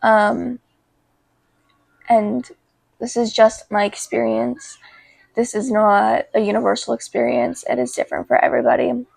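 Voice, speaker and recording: A young woman vlogging casually about her life, congested with a cold, talking in an informal, halting way. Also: a little high, flat delivery, creaky, a little mellow, dry recording, rough recording. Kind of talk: casual chat to camera.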